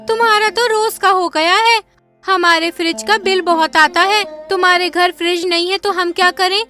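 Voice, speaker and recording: A woman's voice: very high-pitched, steady tone, normal speed, bright, forceful tone, faint music behind, pitched above the performer's own voice. A woman voicing a jealous, smug neighbor who scolds a poor family in a cartoon story. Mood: scornful. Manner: scolding, boastful.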